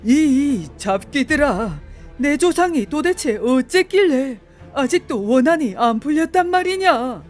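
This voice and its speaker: Young male scholar for storybook tale, woman performing: high-pitched, earnest, flustered, archaic phrasing. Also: lively intonation, measured pace, darkish tone, faint music behind. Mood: indignant. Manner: archaic, pleading, indignant speech. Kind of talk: theatrical folktale storytelling.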